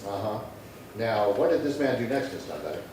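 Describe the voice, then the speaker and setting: A man's voice: lowish voice, big pitch swings, a little fast. A man acting a terse, courteous police detective in a 1950s-style radio crime drama, asking clipped, matter-of-fact questions. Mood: businesslike. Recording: very echoey, distant, faint noise.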